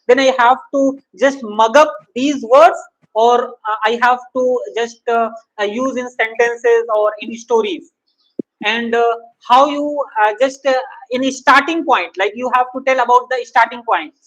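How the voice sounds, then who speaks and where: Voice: medium pitch, a bit bright, rough recording. An interviewer asking long, winding questions full of hesitations. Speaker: interviewer hosting the channel.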